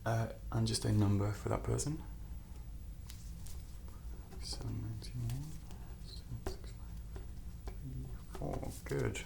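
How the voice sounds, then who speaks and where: A soft-spoken man with heavy vocal fry plays a reassuring doctor for ASMR. His delivery is hushed and unhurried, sometimes close to a whisper. There is clear noise behind the close-miked voice. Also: lowish voice. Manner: gentle, reassuring, unhurried bedside talk. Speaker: ASMR performer playing a doctor. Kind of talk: softly spoken ASMR medical roleplay.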